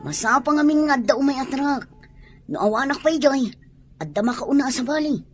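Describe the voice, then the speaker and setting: A woman's voice: high-pitched, fairly quick, soft background music, lightly acted. A woman playing an affectionate, hardworking young market porter husband in an audio comedy drama.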